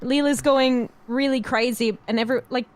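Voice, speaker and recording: A woman with a fairly high voice talks as a podcast co-host. She is informal and freely sweary, in the loose style of a casual discussion. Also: fairly even intonation, normal speed, a loud crowd.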